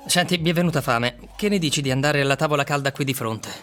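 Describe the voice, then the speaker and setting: Higher-voiced sceptical city tenant played by a man. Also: fast, a bit bright, soft background music, close to an everyday voice. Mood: casual. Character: John Ward, male cramped city tenant, sceptical and matter-of-fact. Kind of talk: Italian radio drama acting.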